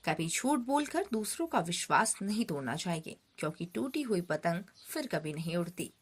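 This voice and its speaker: A woman's voice: on the low side, sing-song, normal speed, breathy edge. A woman narrating a scripted moral story for children, speaking to them directly and expressively. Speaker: storyteller, host.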